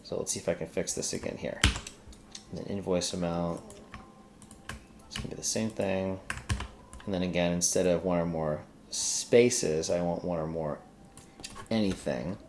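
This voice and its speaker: Low-voiced man thinking aloud, some background noise. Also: fairly even intonation, normal speed, long pauses, a little mellow. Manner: informal, hesitant, thinking aloud while working. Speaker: host of a programming tutorial. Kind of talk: casual screen-recorded coding walkthrough, thinking aloud. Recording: noticeable background noise, keyboard typing.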